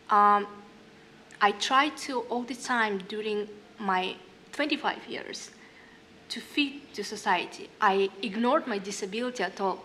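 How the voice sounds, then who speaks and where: A young woman musician from northern Kazakhstan, a native Russian speaker, talking on a panel in halting English with many fillers and reading from her diary. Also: mid-range voice, a bit bright, slight background noise. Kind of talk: panel talk with read-aloud diary. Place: hall panel stage.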